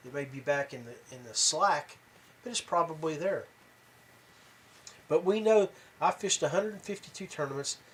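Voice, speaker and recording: A man hosting a podcast, talking casually and haltingly to his viewers, rambling along with pauses and repeats. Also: a little high, fairly bright tone, slightly rough. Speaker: podcast host. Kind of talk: casual rambling talk to viewers about tournament fishing.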